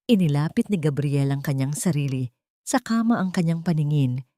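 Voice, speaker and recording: Filipino accent lady narrating a dramatic story, kinda low voice, super dry audio. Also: animated, normal speed, mellow, no room sound. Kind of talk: scripted dramatic first-person narration.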